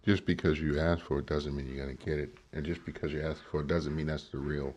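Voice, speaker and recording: A man's voice: rumbling low, flat delivery, quick pace, darkish tone, slightly rough. A man giving emphatic political commentary on a clip, often asking "right?" to make his point. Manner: emphatic, asks rhetorical questions, says "right?" often.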